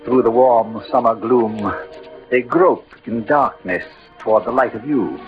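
An actor playing an eloquent, lovelorn poet-soldier with ardent, lyrical delivery in old radio drama style. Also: male voice, medium pitch, measured pace, soft background music, lightly acted. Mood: rapturous, tender. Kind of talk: 1940s-50s radio drama acting. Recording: dull old radio recording.

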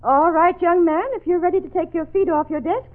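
An actress playing a prim, strict middle-aged schoolteacher in a 1940s radio drama, with a crisp, no-nonsense manner. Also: female voice, extremely high pitch, brisk. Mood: prim, brisk. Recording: tinny old radio broadcast.

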